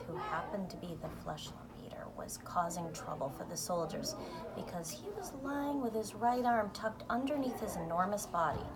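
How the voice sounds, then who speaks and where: A woman reading a children's book aloud, now and then stumbling and restarting over words. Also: medium pitch. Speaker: volunteer story reader.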